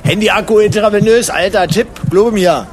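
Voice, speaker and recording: A man's voice: high voice, normal speed, faint music behind. A man playing a flustered, irritable, clueless buddy in a comic audio play, stammering and repeating his words. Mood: flustered, skeptical.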